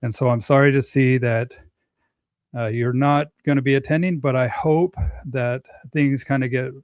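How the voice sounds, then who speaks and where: A middle-aged man hosting a casual talk, speaking earnestly with frequent pauses and restarts. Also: mid-range voice, steady tone, clean tone, dry recording, muffled recording. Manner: earnest, halting, many restarts. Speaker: host. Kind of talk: casual conversation.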